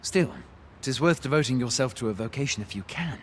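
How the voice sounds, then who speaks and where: Man playing a lively, folksy fantasy innkeeper. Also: mid-range voice, brisk, slight background noise, lightly acted. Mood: friendly. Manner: folksy, hospitable. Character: plain-spoken, kindly male fantasy innkeeper, modest and helpful. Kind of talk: scripted fantasy game dialogue, folksy archaic English.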